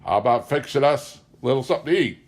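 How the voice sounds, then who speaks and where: Drunken small-time lout, acted by a male reader: loud, pitched up, rude, slangy, menacing, from an audiobook memoir. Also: expressive, quick pace, natural voice. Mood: belligerent, drunk.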